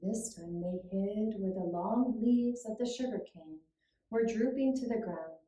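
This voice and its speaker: A woman narrating a scripted children's puppet-show tale in a measured, deliberate way with pauses. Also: mid-range voice, dark tone. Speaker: storyteller. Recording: roomy, echoing room sound.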